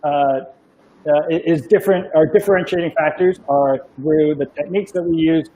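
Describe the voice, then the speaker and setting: A man's voice: fairly high pitch, moderate pace, dark tone, slight background noise. A man being interviewed about his company, talking casually with frequent fillers and restarts over a video call. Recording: muffled lo-fi audio.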